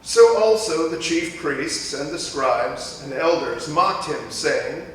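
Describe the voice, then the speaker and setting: A man's voice: animated, takes it slowly, fairly bright tone. A man reading scripture aloud as a narrator in a dramatic church reading. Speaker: scripture reader. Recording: echoey church hall, distant mic, faint rumbling noise.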